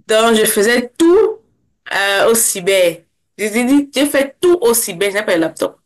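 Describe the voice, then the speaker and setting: A young woman from Cameroon, a guest in an interview, speaking casually and fast, with many restarts. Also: a little high, animated. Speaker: interview guest, former scholarship holder.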